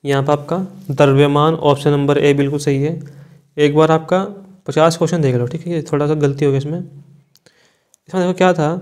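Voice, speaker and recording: Guy doing exam coaching, kinda high voice, reads questions then answers, informal. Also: little pitch movement, normal speed, a little mellow, smooth. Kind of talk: quiz walkthrough, reading questions and giving answers.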